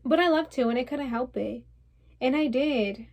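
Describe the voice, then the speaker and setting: A woman's voice: high voice, normal speed, muffled recording. A woman performing a theatrical monologue as a defensive, wounded and stubborn young woman, insistent and rambling. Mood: agitated, rueful. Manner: insistent, rambling, piling up lists.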